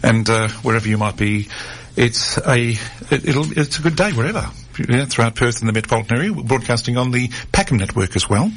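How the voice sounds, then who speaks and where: A man's voice: medium pitch, lively intonation, fairly quick, few pauses. A man hosting a community radio health show in a studio, talking with many fillers and restarts. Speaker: radio host.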